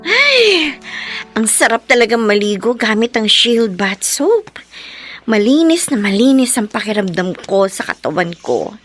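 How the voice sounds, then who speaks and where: A woman's voice: a little high, big pitch swings, measured pace, fairly bright tone, soft background music. A woman playing a chatty, concerned young best friend in a Filipino radio drama, with theatrical, exclamatory reactions. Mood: breezy.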